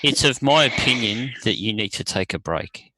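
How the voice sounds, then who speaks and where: A man's voice: mid-range voice, expressive, normal speed, noisy background. A man in a casual group chat giving blunt, laid-back advice.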